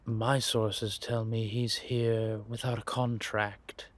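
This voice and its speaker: A man playing a sly, scheming vampire spy in an audio roleplay, husky and theatrical, savoring his words in drawn-out musings. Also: lowish voice, little pitch movement. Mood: wry, relishing. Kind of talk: scripted audio roleplay monologue addressed to a companion.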